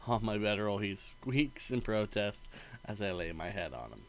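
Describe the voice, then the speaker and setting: A man reading a visual novel aloud in an expressive, playful narrating style. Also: fairly low pitch, low-quality recording.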